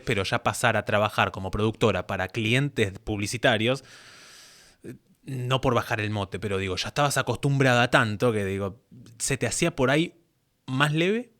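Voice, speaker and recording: A man's voice: lowish voice, fairly quick, a bit bright. A man with a Rioplatense Argentine accent interviewing a guest in a casual, conversational way. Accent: Rioplatense Argentine Spanish. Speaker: interviewer and host.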